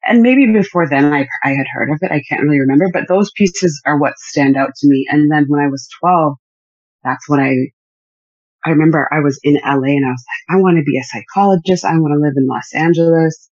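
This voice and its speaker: A counselor from Uganda, reflecting in a casual conversation in a measured way. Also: medium pitch, no room sound, muffled recording. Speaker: counselor and guest.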